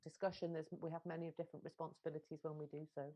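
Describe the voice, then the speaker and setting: Low, mellow-voiced woman speaking earnestly. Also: flat delivery, rapid-fire. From Wales. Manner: earnest, repeating and reworking phrases. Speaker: literary translator. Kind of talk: impassioned panel discussion.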